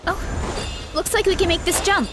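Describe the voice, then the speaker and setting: A woman's voice: extremely high pitch, fairly even intonation, moderate pace, music in the background. A woman voicing an earnest young woman in a video game, bubbly but shy and self-doubting. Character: earnest young woman who idolizes a masked hero.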